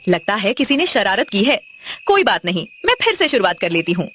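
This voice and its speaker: A woman's voice: mid-range voice, very animated, fairly quick, fairly bright tone, husky. A woman voicing a kind, patient, forgiving little female bird in a cartoon moral story. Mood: calm, resigned.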